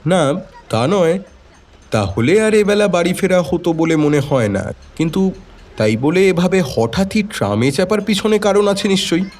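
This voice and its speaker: A man's voice: high-pitched, lively intonation, normal speed, darkish tone, voice pushed up. A man voicing a detective's curious, persistent companion in an audio drama, questioning and bantering. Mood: puzzled, questioning.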